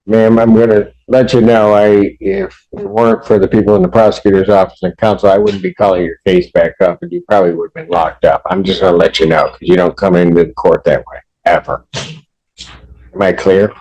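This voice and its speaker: Male American judge, lowish pitch, stern courtroom delivery, admonishing and explanatory. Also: fairly even intonation, brisk, darkish tone, highs cut off. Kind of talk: courtroom address from the bench.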